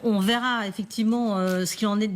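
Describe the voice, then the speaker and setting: A woman's voice: mid-range voice, steady tone, fast. A woman hosting a live news discussion, putting questions to her guests. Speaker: host. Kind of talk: live news panel moderation.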